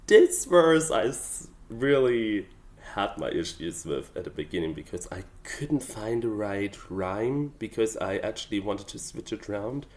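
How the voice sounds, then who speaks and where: Low, unhurried male vlogger, German native speaking English, thoughtful and hesitant, fills pauses with "um" and "like". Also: animated, a little mellow. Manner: hesitant, thoughtful, many fillers. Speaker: hobby songwriter. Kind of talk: casual explanatory vlog.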